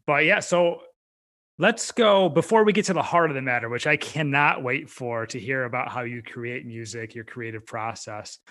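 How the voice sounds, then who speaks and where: American guy hosting a podcast, medium pitched voice, informal and encouraging, chatting with a guest. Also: normal speed, fairly bright tone. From upstate New York, United States. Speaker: podcast host and interviewer. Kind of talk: conversational interview.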